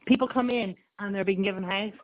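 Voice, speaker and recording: A woman's voice: medium pitch, fairly quick. A woman with an Irish accent hosting a radio interview, speaking emphatically and giving strong opinions. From Ireland. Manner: emphatic, repeats points for emphasis. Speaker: radio show host, interviewer. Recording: slightly dull, lo-fi radio audio.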